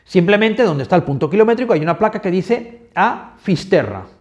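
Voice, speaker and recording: A man's voice: sits high, big pitch swings, brisk, lo-fi sound. Chatty Spanish man recounting his pilgrimage. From Spain. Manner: chatty, self-correcting, addresses viewers directly. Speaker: pilgrim vlogger. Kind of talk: reflective travel narration.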